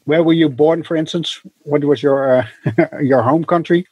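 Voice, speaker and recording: Male host, slightly higher voice, husky, dry, halting questions. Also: steady tone, a little mellow, no echo, dull audio. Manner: halting, searching for words. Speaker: talk show host. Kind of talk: interview hosting.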